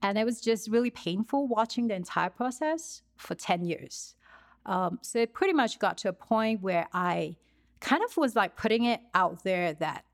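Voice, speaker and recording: A woman from Singapore with a Singaporean English accent, a wellness coach being interviewed and talking reflectively about her life, with frequent fillers and restarts. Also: medium pitch. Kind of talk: conversational interview.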